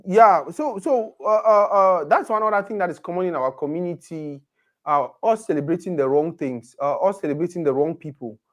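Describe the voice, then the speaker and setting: Man, casual podcast chat, animated, pidgin mixed in. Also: high-pitched, a little fast. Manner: animated, mixes pidgin into his English.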